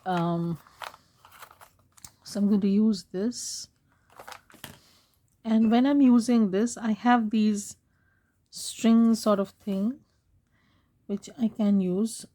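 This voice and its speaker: A woman walks through a journaling craft project in a slow, unhurried way, her voice hoarse as she is losing it. She speaks softly with a mellow, dark tone and often pauses to clear her throat. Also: mid-range voice, fairly even intonation. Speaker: craft channel host. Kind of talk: casual craft tutorial voice-over.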